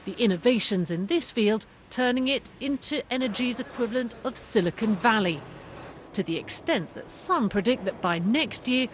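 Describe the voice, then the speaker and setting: A woman presenting a television business programme in a clear, measured, emphatic broadcast style. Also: medium pitch, a little hiss or hum. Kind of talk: broadcast presenting, scripted narration and panel introductions.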